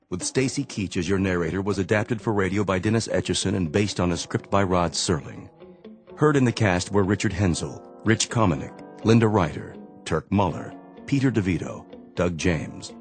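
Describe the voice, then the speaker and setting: A man reading scripted radio credits as an announcer, formal and measured. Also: deep voice.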